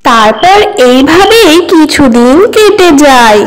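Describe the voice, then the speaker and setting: Slow, high-pitched woman storyteller. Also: big pitch swings, low-quality recording. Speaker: narrator. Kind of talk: scripted children's story narration.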